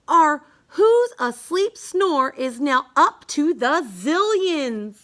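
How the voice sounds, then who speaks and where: A woman reading a rhyming children's bedtime story aloud, halting now and then with small stumbles over words. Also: sits high, sing-song, fairly bright tone. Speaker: storybook reader.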